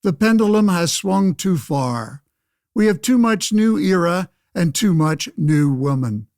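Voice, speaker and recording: A man acts out a 1911 senator for a radio play, a self-righteous windbag with a rather high voice. He orates in formal, old-fashioned phrases, pompous and sure of himself. The sound is very dry. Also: expressive, measured pace, darkish tone, dry recording. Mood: grandiose, preachy. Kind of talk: pseudo-radio play interview and oratory.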